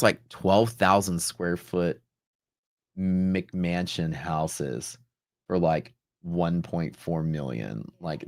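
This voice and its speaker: Deep-voiced man chatting on a livestream. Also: dry recording, clean studio sound. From Texas, United States. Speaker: livestream host, futures trader. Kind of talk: casual livestream chat, storytelling.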